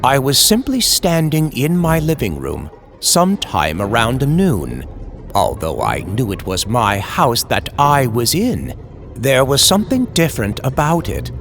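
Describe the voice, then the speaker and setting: A man's voice: mid-range voice, wildly swooping pitch, a little slow, a bit bright, slight background noise, exaggerated voice. A man playing a tormented horror writer, narrating his fears in a grave, brooding, formal old-time radio style. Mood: uneasy recounting. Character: tormented male horror writer fearing demons, guilt-ridden. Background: music.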